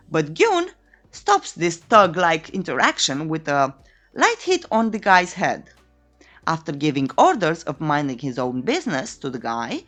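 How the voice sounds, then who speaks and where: A woman's voice: lowish voice, a bit bright. A woman reading a scripted story recap as a narrator, in a playful, tongue-in-cheek way. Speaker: narrator of a comic recap channel.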